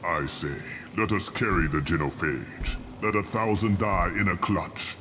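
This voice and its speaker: A man voicing an arrogant, ruthless old alien warlord scientist in a video game, speaking with grandiose, deliberate contempt. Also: deep voice, over music. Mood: grand contempt. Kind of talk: video game dramatic acting.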